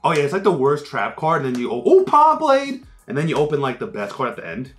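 A man's voice: fairly high pitch, fast, soft background music. A young man co-hosting, chatting casually and calling out card names as he opens packs. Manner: lively, calls out card names, excited outbursts. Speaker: co-host opening card packs. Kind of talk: casual chat while opening packs.

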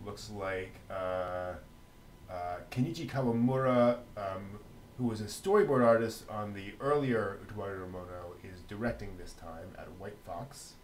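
A middle-aged man with a fairly low voice runs through news items casually as a co-host. His delivery is halting and chatty. He is on a headset, with a faint rumble of noise behind him. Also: low-quality recording. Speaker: co-host of an anime news show.

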